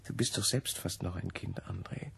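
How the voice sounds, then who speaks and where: Intense physicist, rough husky voice on the low side, urgent and defiant, old radio play. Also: male voice, moderate pace, fairly bright tone, heavily acted. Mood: accusing. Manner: urgent, clipped, insistent. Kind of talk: German radio play acting, 1970.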